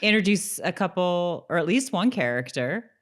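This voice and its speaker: A woman with a clearly low voice for a female speaker. She co-hosts a podcast in a casual, conversational way, recapping and commenting on an episode. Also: big pitch swings, moderate pace. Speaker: podcast co-host.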